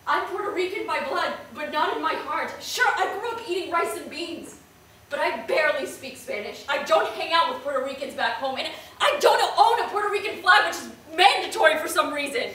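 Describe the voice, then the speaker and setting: A woman's voice: high voice, expressive, a little fast, bright, forceful tone. A woman playing an earnest young Puerto Rican-American in a stage play, speaking dramatically and emphatically. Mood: reluctant, defiant. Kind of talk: theatrical stage acting. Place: indoor stage, recorded from far off. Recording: roomy, distant stage recording, faint noise.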